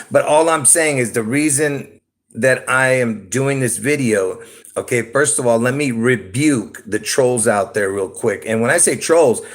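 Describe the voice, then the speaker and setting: A man from Lebanon talks into his phone on a solo livestream. His voice sits at an ordinary middle pitch. He wanders from point to point, chuckling and checking in with "all right?". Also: a bit bright. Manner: meandering, stops to ask "okay?" and "all right?", chuckles often. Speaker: YouTube livestreamer. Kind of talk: rambling solo livestream monologue.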